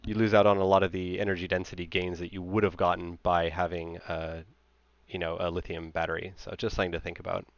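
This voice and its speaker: Low, smooth American man presenting. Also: level tone, quick pace, dry recording, lo-fi sound. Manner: explanatory, conversational. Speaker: presenter, amateur radio hobbyist. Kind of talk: informal technical slide presentation.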